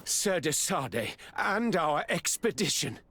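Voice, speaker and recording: An actor playing a grand colonial governor in a fantasy game, speaking with formal, effusive courtesy. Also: male voice, fairly high pitch, big pitch swings, slow pace, a bit bright, slight background noise, heavily acted. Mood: gracious. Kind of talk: scripted game cutscene acting.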